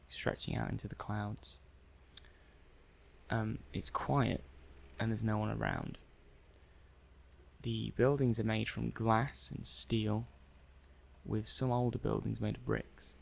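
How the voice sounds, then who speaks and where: A man playing a hesitant, curious robot technician in an ASMR roleplay, halting and musing aloud. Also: lowish voice, gravelly, exaggerated voice. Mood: matter-of-fact. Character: hesitant, curious male robot technician running software tests. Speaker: audio roleplay performer. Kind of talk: soft-paced ASMR roleplay monologue.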